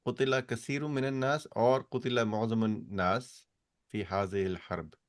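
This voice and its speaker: A man's voice: medium pitch, fairly even intonation, normal speed, no room sound, studio quality. A man teaching an online language class, patiently correcting students one step at a time over a video call. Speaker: Arabic teacher.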